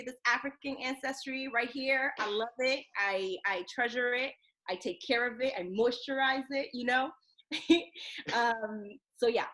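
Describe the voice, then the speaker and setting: A woman talking on a podcast as a guest, an animated storyteller who laughs often and speeds up in bursts. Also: fairly high pitch. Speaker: podcast guest, youth journalism program director. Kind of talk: casual interview chat.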